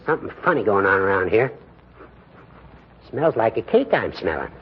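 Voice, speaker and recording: A man's voice: fairly low pitch, big pitch swings, normal speed, slightly rough. A man acting a pompous, nosy older judge in a 1940s radio comedy. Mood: nosy, tempted. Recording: muffled, noisy old radio recording.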